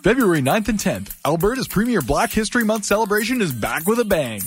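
A man's voice: sits high, very animated, fairly quick, faint music behind. A man reading a scripted event promo in an upbeat announcer delivery.

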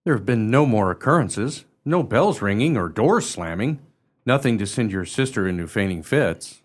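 A man acting a gallant, jesting military officer in a radio play, husky-voiced, courtly and wry. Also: on the low side, expressive, normal speed. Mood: courtly, teasing.

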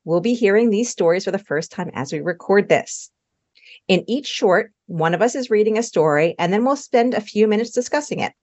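A woman hosting a podcast, introducing the episode in an upbeat, clearly explaining way. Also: mid-range voice, expressive, a little fast, a little mellow, muffled recording. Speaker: podcast host. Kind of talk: scripted show introduction.

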